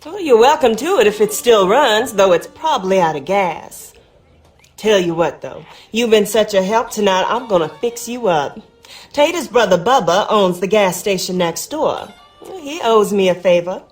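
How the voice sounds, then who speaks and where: An actress playing a sassy, warm-hearted, folksy woman with a Southern American accent in a video game. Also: medium pitch, expressive, normal speed, faint music behind, close to an everyday voice. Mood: grateful, sweet. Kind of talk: video game dialogue acting.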